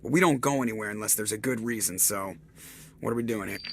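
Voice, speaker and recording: An actor playing a sarcastic young starship pilot in a video game, trading wisecracks with the crew. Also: male voice, fairly low pitch, quick pace, slight background noise, close to an everyday voice. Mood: snarky. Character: cocky sardonic male ship pilot, young adult.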